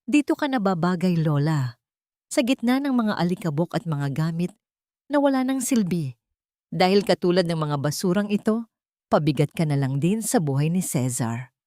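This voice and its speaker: A woman's voice: fairly low pitch, sing-song, moderate pace, warm tone, no room sound, clean studio sound. A woman voicing a scheming, cruel young woman who hides her contempt behind a sweet, fake manner. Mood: cold contempt. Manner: cold, mocking and threatening.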